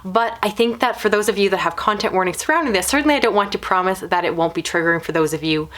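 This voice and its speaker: A woman's voice: medium pitch, quick pace, fairly bright tone. A woman reviewing books in a casual video style, talking in long, considered explanations. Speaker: book reviewer.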